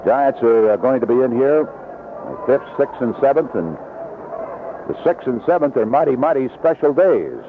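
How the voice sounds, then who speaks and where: A man's voice: mid-range voice, animated, normal speed, narrow, tinny audio. Band-limited, noisy vintage radio baseball announcer, man. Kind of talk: live play-by-play commentary with promotional reads. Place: outdoor ballpark broadcast booth.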